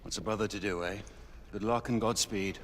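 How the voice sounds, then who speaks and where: A man playing a stern, aristocratic Victorian knight commander in a video game, speaking formally and with measured courtesy. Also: fairly low pitch, fairly even intonation, close to an everyday voice. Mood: courteous, wry. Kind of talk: video game cutscene acting. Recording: long reverberant hall with music.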